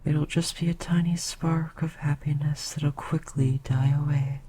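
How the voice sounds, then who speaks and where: ASMR roleplay: ancient, motherly succubus queen voiced by a woman, slow and breathy, dark mellow tone. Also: sits low, fairly even intonation, slight background noise. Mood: tender. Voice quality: breathy whisper. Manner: soft, slow, often whispering.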